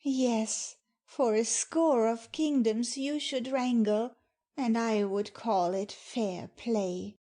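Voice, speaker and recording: A loving young maiden acted by a woman, high-voiced and mellow. She lingers very slowly over her lines with innocent wonder, as in a Shakespeare audiobook. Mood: playful, loving. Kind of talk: dramatic Shakespeare audiobook reading.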